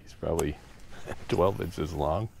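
A man's voice: deep voice, takes it slowly, vocal fry, darkish tone, a little hiss or hum. A man in his sixties talking casually and plainly as host of a fishing vlog, outdoors on a small boat.

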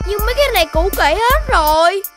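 A performer voicing a playful, stubborn, curious young child in an animated, childlike way for a children's cartoon, with music underneath. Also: very high-pitched, quick pace. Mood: pleading.

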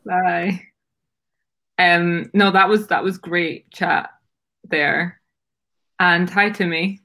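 A young woman hosts a livestream from a small room. Her voice is bright and forward, and she takes her time as she chats casually with callers. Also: on the low side, steady tone, drawn-out words. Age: young adult. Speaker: livestream host.

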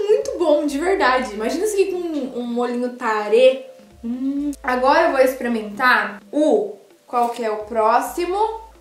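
A woman's voice: high-pitched, roomy sound. A young woman from Brazil tasting food on camera in a casual vlog, gushing and talking straight to her viewers. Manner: gushing, animated, addresses viewers directly. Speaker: food vlogger. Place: small indoor room by a sofa.

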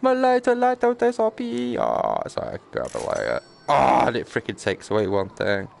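Guy streaming a game, creaky voice, swings up and down a lot, sweary and messing about. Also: medium pitch, fairly quick, a little hiss or hum. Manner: excitable, playful, sweary. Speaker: live streamer. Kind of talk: casual gaming commentary.

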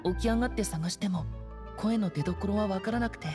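A woman playing a timid, earnest, soft-spoken teenage boy in an anime-style game, hesitant and subdued, with music behind. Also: medium pitch, fairly quick, natural voice. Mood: earnest, resolute. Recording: studio voice over game music. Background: background music.

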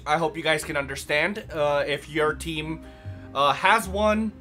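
A young man with a hoarse, raspy voice chatting casually through a let's play, rambling with lots of fillers. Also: a little high, a little slow, sharp tone, faint music behind. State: hoarse from yelling. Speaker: gaming channel host.

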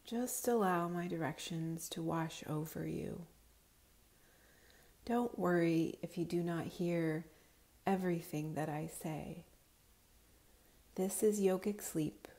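A woman leads a guided meditation in a soft, fairly low voice with a mellow tone. She speaks very slowly and soothingly, with breathy edges to her phrases, giving calm, unhurried instructions. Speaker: yoga nidra guide.

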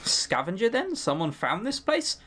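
A man's voice: sits high, huge pitch swings, moderate pace, a bit bright. A man giving let's-play commentary, reading game text aloud and breaking off for dry, sweary, critical asides.

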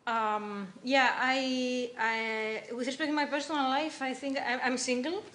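A woman from Greece, a professor speaking haltingly on a panel with many fillers. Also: high-pitched, steady tone, fairly bright tone. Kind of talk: hesitant personal remarks. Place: lecture room panel table.

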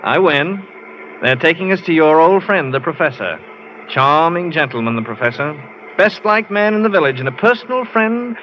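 A man's voice: on the high side, lively intonation, moderate pace, close to an everyday voice. An actor playing a wry, cool-headed man on the run in a 1940s radio drama, glib and courteous with a sardonic edge. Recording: dull, noisy vintage radio recording.

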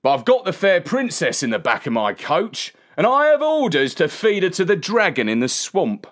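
A woman's voice: fairly low pitch, huge pitch swings, normal speed, voice pushed up. A woman voicing a matter-of-fact rustic coachman in a storybook, resigned to his grim orders.

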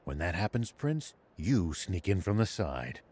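An actor playing a stern, shrewd old sheriff in a video game, speaking in a measured, musing way. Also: male voice, low voice, very animated, a little mellow, husky, exaggerated voice. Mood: confident, commanding. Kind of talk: video game cutscene acting.

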